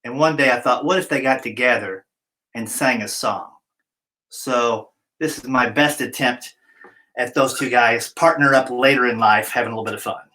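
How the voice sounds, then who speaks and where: A man's voice: medium pitch, moderate pace, sharp tone, slight background noise, rough recording. A man, a comedian and musical guest, joking about his song and then singing a comic duet, trading between two voices. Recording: music clearly behind.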